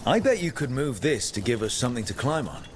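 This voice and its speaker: A man playing an easygoing, encouraging, gently teasing adventuring hero in a video game, speaking naturally. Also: mid-range voice, big pitch swings, fairly quick, background noise, lightly acted. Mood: curious. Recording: game music behind the voice. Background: game music.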